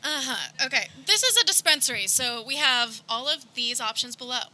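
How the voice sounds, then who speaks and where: A woman's voice: high voice, lively intonation, moderate pace, pushed and bright, full character voice, low-quality recording. A woman playing a bossy, no-nonsense young dispensary clerk in a comedic radio play. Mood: patient, businesslike. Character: exasperated, bossy young female dispensary clerk posing as cop. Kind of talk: comedic radio theater acting. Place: backyard, live performance.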